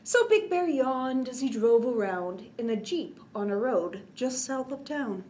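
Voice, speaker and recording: A woman's voice: a little high, animated, a little slow, darkish tone, a little hiss or hum. A woman reading a children's picture book aloud expressively, in a sing-song way with chatty little asides. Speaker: children's storytime reader.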